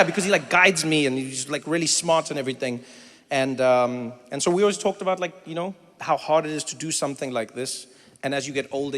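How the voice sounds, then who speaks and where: A middle-aged man from Africa, doing animated stand-up comedy and crowd work, breaking into shouted impressions and teasing banter. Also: a little high. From South Africa. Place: stage in a large theater before an audience. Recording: live theater with long hall reverb and faint room noise.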